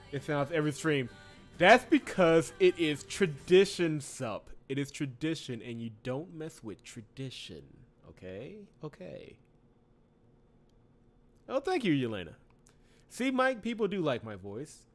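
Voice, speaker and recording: A man's voice: a little high, unhurried, pauses often. Chatty man streaming over game music. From Georgia, US. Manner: chatty and animated, laughs often, reads game text aloud. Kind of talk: casual game stream chat. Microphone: desk mic. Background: game soundtrack music.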